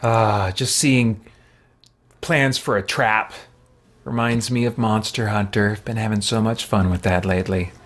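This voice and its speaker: A man's voice: fairly low pitch, fairly even intonation, measured pace, a bit bright. A man giving casual gameplay commentary as he plays, musing aloud. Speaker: gamer, let's play host.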